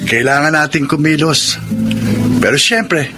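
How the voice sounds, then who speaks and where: A man's voice: on the high side, measured pace, music in the background, natural voice. An actor playing an earnest, protective young working man, heartfelt and dramatic, in a Tagalog radio drama. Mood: consoling.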